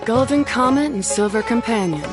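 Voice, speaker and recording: An actress playing a composed female competitor in a video game, speaking in a measured, matter-of-fact way. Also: fairly high pitch, animated, over music, natural voice.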